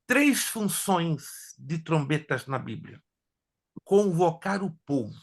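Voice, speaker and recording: A man teaching a theology class as a pastor, whose first languages are Hebrew and Spanish, lecturing in an animated way and joking with his students. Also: on the high side, takes it slowly, creaky. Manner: animated, jokes with students, asks if they follow.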